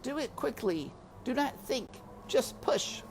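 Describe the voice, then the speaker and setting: A tiny brave storybook mouse, male voiced by a woman, pitched well up. He urges himself on in short, hushed, urgent bursts. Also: lively intonation, slow, slight background noise, exaggerated voice. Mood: urgent, determined. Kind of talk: storybook character voice.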